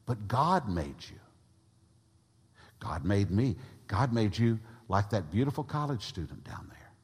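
A man preaching a sermon to his congregation, with a big voice that swings between loud and soft emphasis. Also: fairly low pitch, sing-song, unhurried, husky. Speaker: pastor. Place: church sanctuary. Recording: long reverb of a large church hall.

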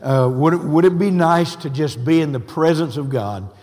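An older man, preaching a sermon in a folksy, unhurried way with a hoarse, scratchy voice. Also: fairly high pitch, a little mellow. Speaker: pastor. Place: church sanctuary. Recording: long reverb of a church hall.

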